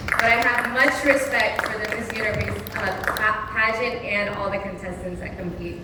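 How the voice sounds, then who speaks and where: A woman's voice: medium pitch, steady tone, moderate pace. A woman in her twenties from Texas, a pageant titleholder answering press questions in a poised, candid way. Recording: echoey hall, distant mic, noisy.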